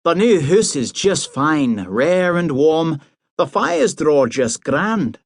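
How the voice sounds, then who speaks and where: A man reading aloud and voicing a busy mother, brisk and coaxing. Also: a little high, big pitch swings, no room sound, studio quality, voice pushed up, heavily acted. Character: Scottish middle-aged mother, busy and brisk.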